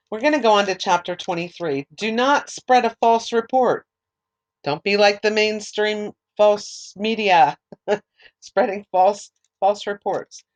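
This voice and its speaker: A woman leading a Bible study, reading scripture aloud at a measured pace and explaining it in hesitant, unscripted remarks. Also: lowish voice, poor audio. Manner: measured reading with hesitant, filler-filled commentary.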